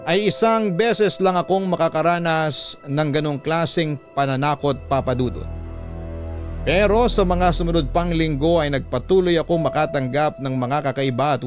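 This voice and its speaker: A man narrating a first-person confession as scripted radio storytelling, measured and earnest, recorded close on a studio mic. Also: on the high side, faint music behind, lightly acted. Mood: earnest, reflective.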